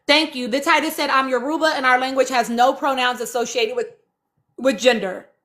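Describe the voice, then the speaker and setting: Woman live host w a high bright voice, really emphatic, repeats herself to drive it home. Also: fairly even intonation, brisk. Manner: emphatic, repeats phrases for stress, urging listeners. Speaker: live-stream host reading and answering audience comments. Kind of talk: impassioned informal live commentary.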